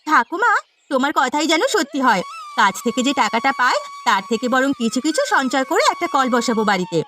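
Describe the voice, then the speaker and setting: A woman's voice: high-pitched, big pitch swings, fairly quick. A woman voicing a poor, earnest and deferential village girl, an elder sister in a cartoon story.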